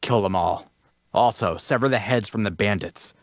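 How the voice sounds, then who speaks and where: A man's voice: fairly low pitch, normal speed, no echo, studio quality, close to an everyday voice. A man playing the stern, cautious leader of a band of fantasy adventurers in an audio drama. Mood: commanding. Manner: commanding, questioning. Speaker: actor in an audio drama table read.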